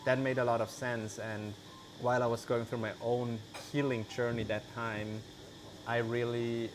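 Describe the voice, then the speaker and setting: A man speaks English as an in a loose, conversational way, leaning on "like" and "you know". His voice sits at a middling pitch for a man. The recording is outdoors, with crickets and insect noise plainly heard behind him. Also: normal speed. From Switzerland. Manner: relaxed, many "like" and "you know" fillers. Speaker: guest, vegan cheese maker. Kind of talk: casual interview.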